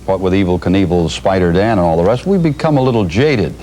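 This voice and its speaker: A man's voice: low-pitched, a little fast, mellow. An American man anchoring an evening television newscast in a scripted news delivery, on a noisy eighties broadcast tape. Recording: noisy 1980s TV broadcast videotape.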